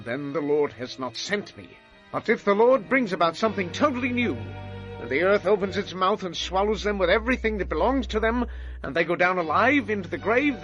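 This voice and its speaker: An actor playing a stern, impassioned prophet and leader, solemn and urgent, in an audio Bible drama. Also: male voice, on the high side, fairly bright tone, lo-fi sound.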